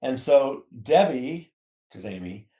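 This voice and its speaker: A man's voice: mid-range voice, very animated, a little slow, mellow. An American man in his fifties recounting things casually as a guest in a conversational interview. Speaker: podcast guest. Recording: roomy, echoey sound.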